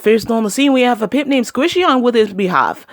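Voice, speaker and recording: A woman's voice: a little high, animated, fairly quick, no echo, studio quality, lightly acted. A woman playing a chirpy, giggly field interviewer, giving a playful scripted sports report. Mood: cheerful. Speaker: on-scene interviewer.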